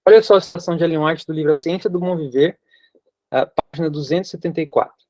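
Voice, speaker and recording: A man's voice: on the high side, fast talker, a little mellow, dry recording. A young Brazilian man giving an informal health lesson, often repeating himself and adding fillers to stress his points. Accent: Brazilian Portuguese. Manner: repeats and rephrases for emphasis, frequent fillers. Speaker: health teacher. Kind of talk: informal teaching talk.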